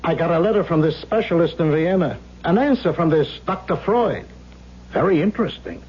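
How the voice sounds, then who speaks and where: An actor playing a probing, challenging doctor in an old-time radio drama, speaking in a pointed, analytical way. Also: male voice, on the high side, big pitch swings, fairly quick, a little hiss or hum. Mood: inquisitive. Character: probing, challenging male doctor lecturing his patient. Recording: muffled, humming old radio recording.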